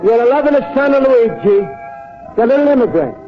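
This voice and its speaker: An actor playing a naive, bashful Italian immigrant in broken, accented English, in old radio comedy style. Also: male voice, very high-pitched, lively intonation, normal speed, over music. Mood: grateful, earnest. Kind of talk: 1940s American radio comedy acting. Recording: muffled vintage radio broadcast.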